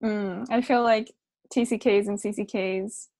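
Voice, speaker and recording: A woman's voice: mid-range voice, steady tone, a little slow, darkish tone, dry recording. A young woman chatting casually as a podcast co-host, a native speaker of both Japanese and English, who peppers her informal talk with "like". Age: young adult. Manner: informal, frequent "like". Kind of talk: casual chat.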